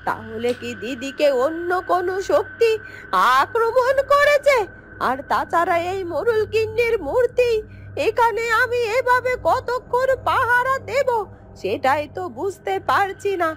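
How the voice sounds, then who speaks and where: A woman voicing a scheming, greedy younger sister of a witch in a fairy-tale cartoon, fretful and excitable. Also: extremely high pitch, a little slow, a little hiss or hum, exaggerated voice. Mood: anxious scheming.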